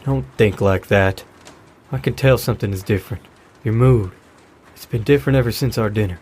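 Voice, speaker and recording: Actor as a grieving father, halting. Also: fairly low pitch, a little mellow, a little hiss or hum, lightly acted. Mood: gentle, concerned. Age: middle-aged. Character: grieving, caring widowed father of a daughter. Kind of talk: naturalistic audio drama acting.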